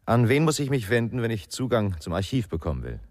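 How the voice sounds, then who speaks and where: An actor playing a gruff, brooding ex-policeman in a radio crime drama, terse and grumbling. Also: fairly low pitch, very animated, fast talker, darkish tone. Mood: curt, guarded. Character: gruff, brooding male ex-policeman, sullen and guarded. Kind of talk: 1990s German radio crime drama acting.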